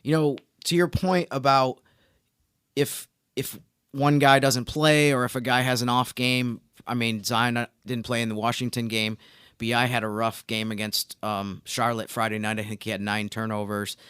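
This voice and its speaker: A man co-hosting a sports podcast, giving conversational analysis in an explanatory, measured manner. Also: medium pitch, flat delivery, fairly bright tone, clean tone, dry recording, clean studio sound.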